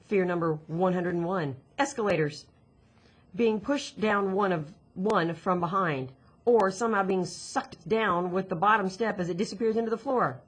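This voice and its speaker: A woman's voice: medium pitch, normal speed, breathy edge, lightly acted. A woman voicing an anxious, bookish girl who is guarded and self-critical in a storybook reading. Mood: anxious, brooding.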